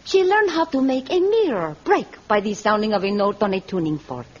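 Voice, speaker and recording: A woman playing a confiding jailer of a hidden city in a vintage radio adventure, husky and expressive. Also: mid-range voice, brisk, natural voice. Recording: dull vintage radio recording.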